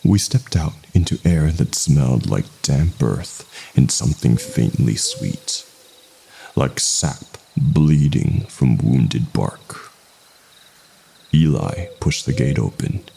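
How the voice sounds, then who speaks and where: Male horror narrator: dark, mellow tone, very slow and suspenseful, heavy vocal fry. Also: very deep voice, animated, slight background noise. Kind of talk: scripted first-person horror narration.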